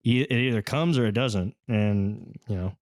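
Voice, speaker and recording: A man's voice: on the low side, moderate pace, no room sound. Easygoing man, dry close sound. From Texas. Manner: easygoing, modest, plain-spoken. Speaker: band lead singer, podcast guest. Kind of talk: casual chat.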